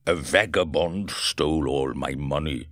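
A man's voice: very deep voice, unhurried, a bit bright, dry recording, studio quality, voice pushed down. A man voicing a wounded, desperate invisible scientist, strained and pleading.

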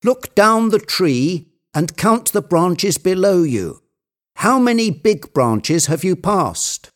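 A man voicing an excited, bossy, obsessive character who gives urgent orders in an agitated storybook tale. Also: high voice, slow, voice pushed up, full character voice. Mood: commanding. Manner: imperious, agitated, exclaiming.

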